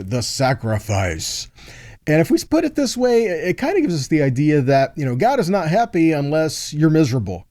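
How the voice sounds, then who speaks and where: A man's voice: on the high side, dark tone, no room sound, clean studio sound. A man giving a conversational Bible teaching, explaining point by point and often checking in with the listener. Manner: explanatory, checks in with "okay?" and "right?".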